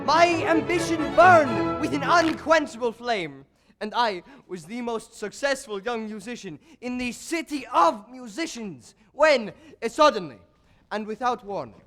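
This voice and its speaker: A male actor playing a proud, envious court composer in a formal, theatrical stage monologue. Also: very high-pitched. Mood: dramatic, proud. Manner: formal, grandiose, deliberate with dramatic pauses.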